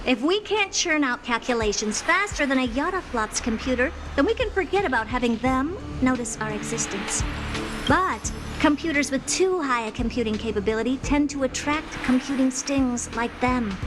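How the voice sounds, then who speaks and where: Actress as game genius woman: high-pitched, aloof, dismissive, offhand, over clear background music. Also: lively intonation, moderate pace, lightly acted. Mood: smug explanation. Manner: condescending, blunt, offhand. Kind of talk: video game character acting.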